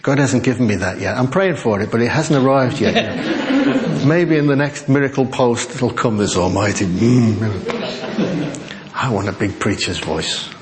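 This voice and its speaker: An older man preaching a chatty, self-deprecating sermon in a quiet voice to a church congregation on a small microphone. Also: medium pitch, expressive, a little slow, crowd noise. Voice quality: quiet, soft-spoken voice. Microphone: small sensitive microphone.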